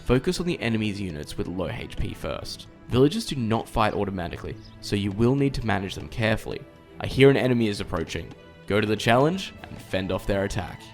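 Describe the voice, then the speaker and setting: A man's voice: fairly low pitch, very animated, fast, soft background music. A man narrating a scripted game tutorial in a precise, explanatory, directive way.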